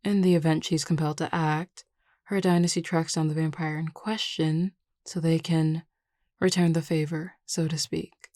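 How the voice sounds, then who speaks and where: A woman playing a confident, reassuring werewolf pack beta in a scripted audio roleplay, speaking in a measured, explanatory way. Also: deep voice, little pitch movement, dark tone, no echo, studio quality, natural voice. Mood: composed, explanatory.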